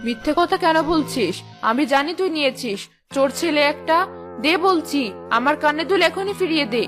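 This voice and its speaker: Woman voicing a sharp scolding aunt. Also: high-pitched, animated, a little fast, over music. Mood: accusing. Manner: sharp, accusing. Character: scolding greedy middle-aged aunt. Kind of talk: cartoon voice acting.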